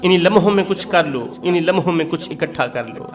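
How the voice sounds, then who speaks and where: High-pitched man preaching emotionally. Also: quick pace. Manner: impassioned, exhortatory, emphatic repetition in short rhetorical phrases. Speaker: Islamic preacher. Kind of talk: emotional sermon with moral storytelling.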